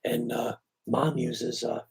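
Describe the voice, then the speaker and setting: A man with a hoarse voice still weak after surgery, chatting casually and rambling on a live stream about his knife shop. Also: medium pitch, level tone, slow. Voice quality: very raspy, breaking into breathy near-whisper. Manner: rambling, easygoing, filler-heavy.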